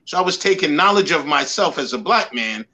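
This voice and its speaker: Man in his sixties, from the Bronx, bright voice, earnest emphatic storyteller. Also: fairly high pitch, expressive, brisk. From New York, the Bronx. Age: 60s. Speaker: guest, motivational speaker and life coach. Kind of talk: interview conversation.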